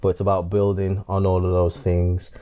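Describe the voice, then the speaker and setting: A man's voice: low voice, monotone, moderate pace, dark tone, clear, resonant tone. A man in his thirties co-hosting a casual chat at home, easygoing and affirming. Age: 30s. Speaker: co-host.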